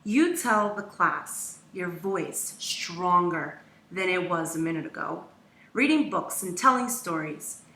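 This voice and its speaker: Slow, bright woman reading in an echoey room. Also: fairly low pitch, expressive, slight background noise. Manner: measured, dramatic pauses, acts out quoted lines. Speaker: teacher reading a picture book aloud. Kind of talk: expressive children's storybook read-aloud. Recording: roomy echo, distant from mic.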